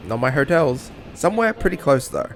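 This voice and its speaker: A man's voice: fairly high pitch, big pitch swings, fairly quick, over loud music. A man narrating his own travel vlog as a voice-over, chatty and self-mocking, with casual swearing. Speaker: travel vlogger.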